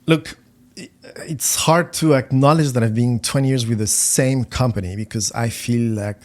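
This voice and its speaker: A middle-aged man from France, a finance executive speaking as a podcast guest, talking haltingly with many repeated words and fillers. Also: mid-range voice. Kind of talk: conversational podcast interview.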